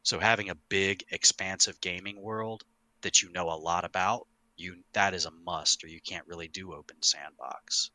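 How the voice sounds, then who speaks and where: A middle-aged man hosting a casual podcast, talking in an emphatic, animated way with some swearing. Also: sits low, moderate pace, dry recording. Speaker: podcast host, longtime game master.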